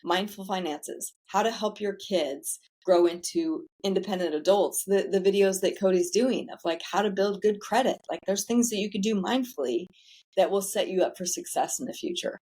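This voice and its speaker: A woman's voice: medium pitch, moderate pace, darkish tone. A woman guest on a casual interview, talking candidly about running a self-care center, chatty and swearing casually.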